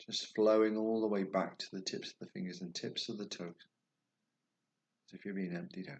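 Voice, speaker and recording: A man guiding a meditation, speaking slowly and softly, at times dropping toward a whisper. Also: sits low, steady tone. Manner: slow, soft and soothing, trailing off toward a whisper. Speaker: meditation guide. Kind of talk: guided meditation.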